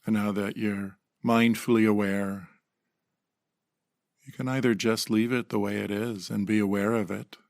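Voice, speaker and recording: A man leading a guided meditation in a gentle, unhurried manner with pauses, recorded close to the microphone. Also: lowish voice, fairly even intonation, creaky, no echo. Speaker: meditation teacher.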